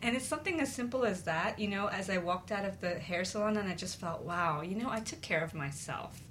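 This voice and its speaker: A woman's voice: medium pitch, animated, a little fast, fairly bright tone, slight background noise, poor audio. A woman co-hosting a talk show, sharing personal experience in an earnest, confiding, conversational way.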